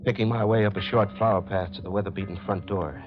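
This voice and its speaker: An actor in a 1940s radio mystery playing an uneasy, hard-boiled drifter caught up in crime, on a dull old recording. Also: male voice, low-pitched, steady tone, quick pace, over music, close to an everyday voice. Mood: brooding. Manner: terse, brooding, hard-boiled. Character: uneasy hard-boiled male drifter, reluctant accomplice and narrator. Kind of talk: 1940s radio acting, first-person narration.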